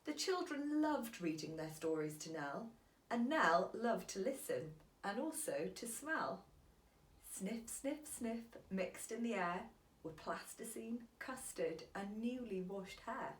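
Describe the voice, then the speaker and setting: A woman reading a children's storybook aloud as a teacher, in an expressive, deliberate storytelling manner. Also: mid-range voice, echoey room.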